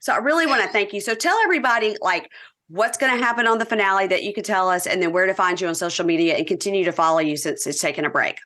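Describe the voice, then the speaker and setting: A woman's voice: mid-range voice, fast, pushed and bright. A woman from Mississippi with a Southern accent hosting a podcast interview, chatty and affirming, often laughing along with her guest. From Mississippi, USA. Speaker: podcast host. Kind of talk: casual interview chat.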